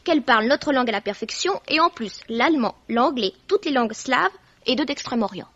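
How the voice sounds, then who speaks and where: An actress playing a spirited, sensible young student in a 1950s French radio mystery, lively, cheeky and slangy. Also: female voice, fairly high pitch, a little fast, no room sound. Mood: reproving. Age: young adult. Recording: dull vintage radio recording.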